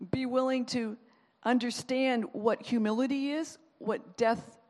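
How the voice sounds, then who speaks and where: A woman pastor giving a sermon, talking in an animated, conversational way and acting out quotes as she goes. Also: a little high, long reverb tail.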